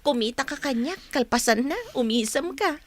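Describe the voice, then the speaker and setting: An actress playing a shy, hardworking young woman office worker in an Ilocano radio drama, speaking softly and modestly. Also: sits high, expressive, normal speed, a little hiss or hum. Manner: soft-spoken, modest.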